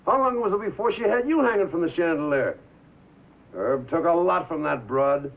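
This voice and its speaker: Casting: brash American bandleader, defensive wise guy, animated higher voice, 1950s film drama. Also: moderate pace, vocal fry. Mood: scornful. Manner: slangy, cocky, punchy street talk. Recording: muffled vintage film soundtrack.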